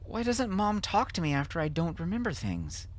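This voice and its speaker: A man voicing an anxious, confused young boy in an audiobook, hesitant and then rushing earnestly. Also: high voice, huge pitch swings, pitched above the performer's own voice. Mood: anxious, pleading. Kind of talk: audiobook character acting.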